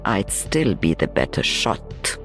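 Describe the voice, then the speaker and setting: An actress playing a weary, protective elderly mother and veteran sniper in a video game, with a dry, measured manner. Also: extremely low pitch, very animated, fairly bright tone, whispered, faint music behind, heavily acted. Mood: dry, cutting. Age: older.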